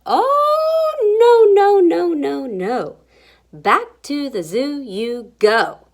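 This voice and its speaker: A woman's voice: high voice, big pitch swings, very slow, heavily acted. A woman playing a zookeeper's startled, bossy wife in a storybook, exclaiming and scolding.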